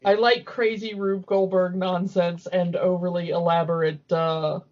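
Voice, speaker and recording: A woman's voice: fairly low pitch, flat delivery, measured pace. A woman hosting a podcast, chatting casually and enthusiastically about cartoons. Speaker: podcast host. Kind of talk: casual chat, cartoon commentary. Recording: dull lo-fi audio.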